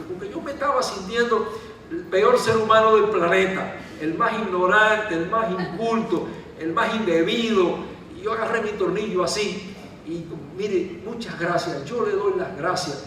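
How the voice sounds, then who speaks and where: Storytelling man in an echoey hall. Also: high-pitched. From Cuba. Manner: animated anecdotal storyteller, self-deprecating. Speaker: author. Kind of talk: storytelling talk and answering questions. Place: large event hall. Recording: echoey hall from far off, faint noise.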